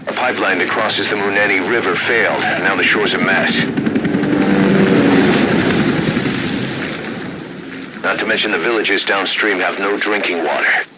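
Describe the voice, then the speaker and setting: Military game adviser, played by a man: grave, deliberate, low-pitched, briefing the boss under loud helicopter noise. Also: fairly even intonation, close to an everyday voice. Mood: businesslike, firm. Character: grave, composed older male military adviser briefing his commander. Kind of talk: scripted mission briefing and exposition. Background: helicopter rotor and engine ambience.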